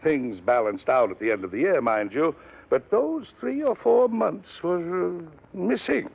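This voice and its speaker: A man's voice: fairly high pitch, animated, measured pace, gravelly, slightly rough, full character voice. An actor playing a fussy, self-important middle-aged businessman in a 1950s radio drama, explaining himself hesitantly and evasively. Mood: insinuating, fussy. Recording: dull vintage radio recording.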